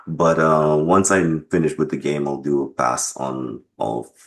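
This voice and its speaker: A man answering interview questions as a game developer, explaining casually in measured short phrases with pauses between them. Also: a bass voice, steady tone.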